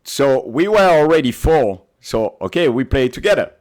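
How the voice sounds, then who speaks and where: A man's voice: medium pitch, big pitch swings, moderate pace, a little mellow. A man from Belgium with a Belgian accent, chatting as a podcast guest and telling stories animatedly in non-native English. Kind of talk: casual interview chat.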